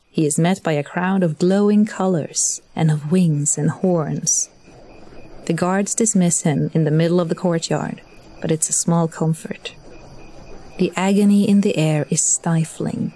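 A woman narrating scripted fiction as a wry, measured storyteller. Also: lowish voice, mellow.

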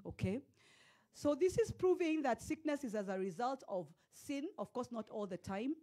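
A Kenyan woman pastor in her forties preaching a sermon into a handheld microphone in a church hall, swinging from loud declarations to hushed storytelling. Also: on the high side, animated, moderate pace.

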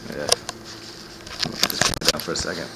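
A man's voice: rumbling low, flat delivery, normal speed, heavy background noise. A man giving a casual hands-on gadget demo as a reviewer, offhand and sardonic, swearing and sounding exasperated.

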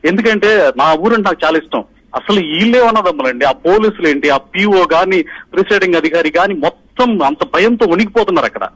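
A man's voice: sits high, expressive, brisk, a bit bright, low-quality recording. A middle-aged man from Andhra Pradesh calling in by phone as a party polling agent, giving an urgent, emphatic account to the media. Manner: urgent, pressing, emphatic retelling. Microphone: phone call.

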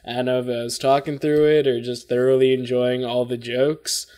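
A man's voice: mid-range voice, little pitch movement, normal speed, warm tone. A young man in his twenties giving casual reaction commentary to camera from a room at home. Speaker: reaction video host. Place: small bedroom indoors.

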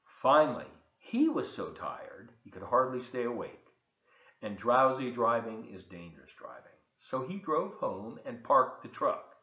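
Expressive older man reading aloud, very animated pitch. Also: unhurried. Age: 70s. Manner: expressive, explains pictures to listeners. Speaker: volunteer storybook reader. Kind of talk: read-aloud storytelling for children with asides. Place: large open kitchen and living room, indoors. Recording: echoey room, slightly dull webcam sound.

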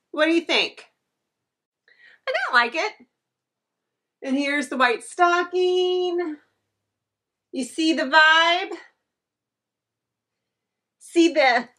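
A woman's voice: high-pitched, sharp tone. A woman in her thirties chatting enthusiastically to the camera as she unboxes home decor in her living room, heard through a camera across the room. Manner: chatty and enthusiastic, gushing over items. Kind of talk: casual unboxing chat to camera.

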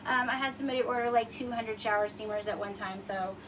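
A woman's voice: on the high side, steady tone, fast, recorded from a distance. A woman in her forties hosting a casual livestream from her home kitchen, chatty and rambling with lots of fillers, a little sniffly. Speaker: livestream host. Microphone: phone camera across the room. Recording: voice a bit off-mic, faint room noise.

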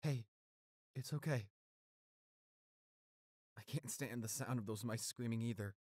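A gentle, weakened boyfriend , played by a man, speaks in an intimate audio drama. His delivery is slow, tender and halting, with a reassuring softness. The sound is close and dry, at a medium pitch. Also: dry recording, close to an everyday voice. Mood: tender, pleading. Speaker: audio roleplay performer.

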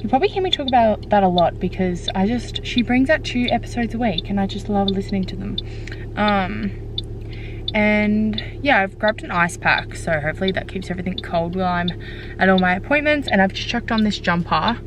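A woman's voice: medium pitch, brisk. Young Australian woman, noisy vlog sound. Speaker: vlogger. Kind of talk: casual chat to camera. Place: parked car interiors and home kitchen. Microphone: handheld camera at arm's length. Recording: background noise, in car or home.

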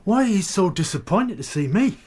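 A man's voice: high voice, sing-song, fairly quick, darkish tone, slightly rough, heavily acted. An actor in a radio drama playing a bitter, jealous, hard-drinking husband confessing to murder, brooding as he tells it. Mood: jealous anger. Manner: brooding, confessional storytelling that breaks into confrontation.

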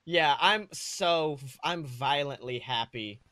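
A man's voice: a little high, animated, slow, pushed and bright, no echo. A man co-hosting a podcast, animatedly narrating comic fan fiction and chatting casually. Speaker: podcast co-host.

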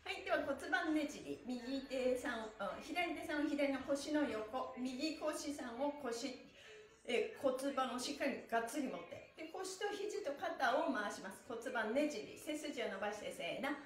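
A woman's voice: high-pitched, expressive, unhurried. A middle-aged woman leading a live-streamed stretching lesson, giving cheerful, encouraging, polite instructions and counted chants. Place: small bare-walled room indoors. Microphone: camera a few feet away. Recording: roomy echo from camera distance.

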